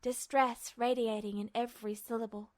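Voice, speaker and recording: A woman's voice: a little high, level tone, moderate pace, darkish tone. A woman reading fiction aloud as a dramatic, scripted audiobook narration. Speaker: fan fiction podfic reader.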